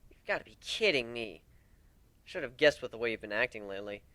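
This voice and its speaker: A man's voice: mid-range voice, animated, brisk, sharp tone, no room sound. A man voicing a wounded male griffin captain in a story, wry, plaintive and emphatic, blaming himself yet devoted. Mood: exasperated.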